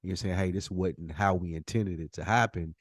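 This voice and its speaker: A man's voice: low-pitched, moderate pace, slightly rough, no room sound, clean studio sound. A man co-hosting a podcast, talking casually as he asks questions and sums up what he has heard. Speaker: podcast co-host. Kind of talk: casual conversation, questioning and summing up.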